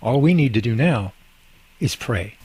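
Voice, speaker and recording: A man's voice: medium pitch, animated, measured pace, a little mellow, husky, no echo. A man acting a cheerful, devout working husband in an amateur radio drama, with a folksy, good-humored manner. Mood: hopeful.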